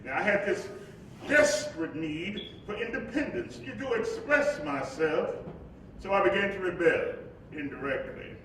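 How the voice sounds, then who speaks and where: Reflective orator-ghost played by a man, theatrical monologue, high male voice in a reverberant, faintly noisy hall. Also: expressive, a little slow, fairly bright tone. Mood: wry, confiding. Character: reflective spirit of a civil rights preacher narrating his life. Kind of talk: theatrical first-person monologue in a staged reading. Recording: distant echoey hall recording, faint rumbling noise.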